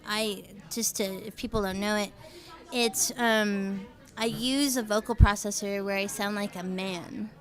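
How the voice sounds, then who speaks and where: A woman's voice: medium pitch, steady tone, unhurried. Woman musician guest; chatty, loose, lots of "um" and "like". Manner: chatty, many fillers and restarts. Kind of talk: casual interview chat. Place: radio show studio.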